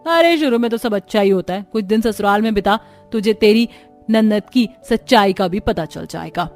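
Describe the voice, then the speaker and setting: A woman's voice: mid-range voice, moderate pace, a little mellow, faint music behind, natural voice. A woman voicing a meddling, suspicious young married friend in a cartoon story, gossipy and scolding. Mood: warning. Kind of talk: Hindi cartoon story dialogue.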